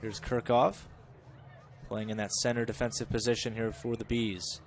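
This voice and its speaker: A man's voice: on the low side, flat delivery, moderate pace, a little hiss or hum. Man calling a college soccer match. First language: English. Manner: chatty, self-correcting, rises with the play. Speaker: play-by-play commentator. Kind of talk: live soccer commentary.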